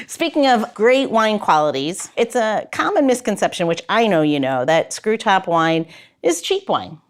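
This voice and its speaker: A woman podcast host with a very animated, swinging intonation and an ordinary mid-range pitch. She is playful and chatty, easily moving between banter and prepared segments. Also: a little slow. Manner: playful, prone to chuckling. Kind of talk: chatty hosting with loosely scripted segments.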